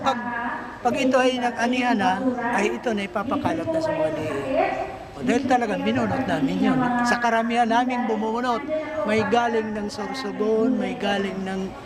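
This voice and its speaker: A woman's voice: a little high, normal speed. A woman giving plain-spoken, insistent testimony as a farmer, repeating her points. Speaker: farmer and rice breeder, interviewee. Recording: echoey space with loud crowd noise.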